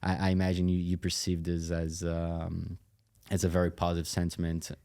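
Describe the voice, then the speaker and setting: Male podcast guest, Brazilian-accented native Portuguese speaker. Flat, soft-edged delivery, conversational, with frequent searching pauses. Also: sits low, vocal fry. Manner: hesitant, pausing often mid-phrase. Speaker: podcast guest, company executive. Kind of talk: casual interview talk.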